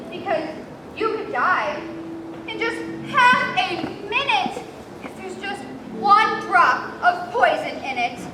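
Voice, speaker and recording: A teenage young woman acting a self-important, greedy food taster in a stage play, reciting rhymes in an exaggerated, deliberate singsong. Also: very high-pitched, background noise, exaggerated voice. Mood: grandly dutiful. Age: teens. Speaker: student stage actor. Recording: echoey hall, distant mic, loud music.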